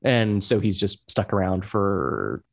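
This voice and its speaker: A man in his thirties from Hong Kong, a guest in a casual talk, speaking haltingly with many restarts and fillers. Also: fairly low pitch, very animated, vocal fry. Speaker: guest, chair of an overseas Democrats group. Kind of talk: casual conversation.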